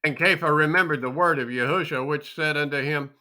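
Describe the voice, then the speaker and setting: A man giving a solemn, deliberate and emphatic dramatic reading of scripture. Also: a little high, pushed and bright. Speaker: scripture reader.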